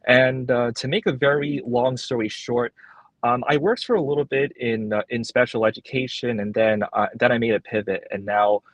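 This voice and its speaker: A man's voice: mid-range voice, normal speed, no room sound. A young man whose first language is Cantonese, earnestly answering interview questions with many fillers and restarts from his workplace library. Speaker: guest.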